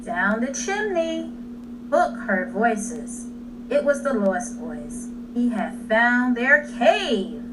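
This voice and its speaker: Slow, dramatic woman reading a children's story. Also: fairly high pitch, big pitch swings. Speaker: read-aloud storyteller. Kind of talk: expressive children's story narration. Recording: distant mic, clearly noisy.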